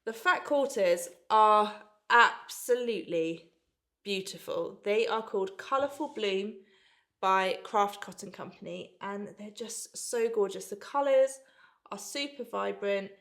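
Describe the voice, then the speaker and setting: A bunged-up woman in her thirties talks through a sewing box unboxing. Her voice is nasal and congested from a cold, and her delivery is bright and enthusiastic, like easy friendly chat. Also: medium pitch, measured pace. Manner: chatty and enthusiastic. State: blocked nose from a cold. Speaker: sewing subscription box owner. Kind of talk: casual unboxing vlog.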